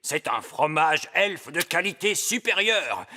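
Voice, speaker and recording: A man's voice: a little high, expressive, takes it slowly, sharp tone, full character voice. A man voicing a mischievous, chuckling old elf sage in a children's cartoon. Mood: gleeful. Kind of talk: French-dubbed children's cartoon acting.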